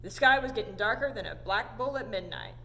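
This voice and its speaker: A woman reads a children's storybook aloud. Her voice sits fairly high and moves around with lots of expression. The room rings like a hall, with some noise behind her. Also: lively intonation, a little fast, gravelly, pushed and bright. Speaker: story time reader. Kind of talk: animated storybook read-aloud. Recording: echoey hall, audible background noise.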